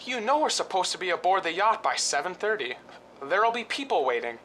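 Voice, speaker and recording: An actor playing a restless, scheming husband of a film star in a radio drama, hesitant and conspiratorial. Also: male voice, high voice, sing-song, a little fast, bright, forceful tone, slight background noise, heavily acted. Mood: put-upon, annoyed.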